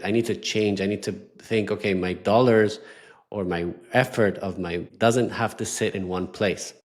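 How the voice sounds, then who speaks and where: A man raised in Ecuador hosting a podcast interview, talking in a halting way and often repeating words. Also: low voice. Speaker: podcast host. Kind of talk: casual interview talk.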